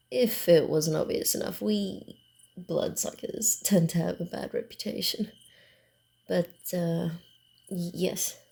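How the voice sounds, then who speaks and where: Female vampire for ASMR roleplay, acted by a woman: lowish, slow, teasing and guarded, hushed theatrical delivery. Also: full character voice. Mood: amused, boastful. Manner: theatrical, hushed, deliberate with breathy asides. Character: sly, guarded female vampire, centuries-weary, teasing and secretive.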